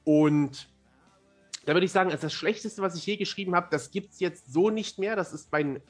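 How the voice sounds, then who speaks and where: A man's voice: high-pitched, normal speed, faint music behind. A man, talking at length in a casual interview and explaining things as he goes. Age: 30s. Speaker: fantasy author, podcast guest.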